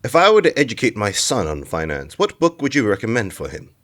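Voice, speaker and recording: A man's voice: lowish voice, wildly swooping pitch, a little fast, fairly bright tone. An impatient, imperious king. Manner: commanding, skeptical. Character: impatient king, grave and probing.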